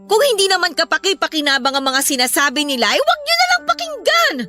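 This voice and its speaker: A woman's voice: very high voice, very animated, quick pace. A woman playing a worried, protective mother who counsels her children earnestly in an emotional radio drama. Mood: earnest, consoling. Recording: music bed behind the voice.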